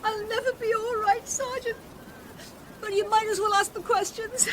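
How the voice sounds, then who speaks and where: A woman's voice: very high voice, steady tone, moderate pace, background noise. An actress playing a pious, bitter, grief-stricken village woman, anguished and intense, in a radio drama. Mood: broken grief. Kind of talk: British radio drama acting.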